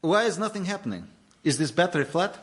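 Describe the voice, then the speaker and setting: An actor playing a theatrical, menacing Bulgarian villain doctor who is easily exasperated, in a radio sitcom. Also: male voice, high-pitched, big pitch swings, fast.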